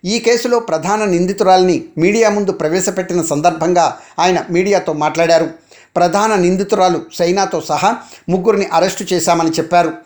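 A man's voice: high-pitched, darkish tone. A man reading a formal, scripted radio news bulletin as the newsreader.

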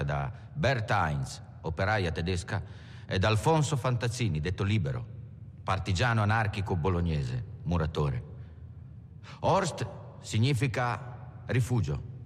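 An actor playing a German-born robber who introduces himself in a measured, explanatory film monologue, in a reverberant space. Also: male voice, low-pitched, lively intonation. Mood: matter-of-fact. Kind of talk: dramatic monologue in a docudrama film. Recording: long hall reverb, faint noise.

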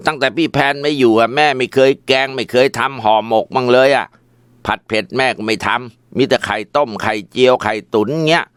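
A man's voice: medium pitch, fairly quick, fairly bright tone, smooth, no echo, clean studio sound, pitched above the performer's own voice, lightly acted. A man voicing a spoiled teenage son who whines and complains. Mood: whiny. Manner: whining, complaining.

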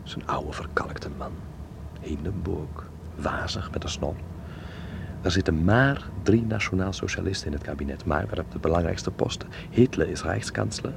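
A thoughtful young man abroad in a 1930s radio drama. His voice sits low with a gravelly creak, and his talk is reflective and natural. The old recording is clearly noisy. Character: thoughtful young Dutch dancer living in 1930s Berlin.

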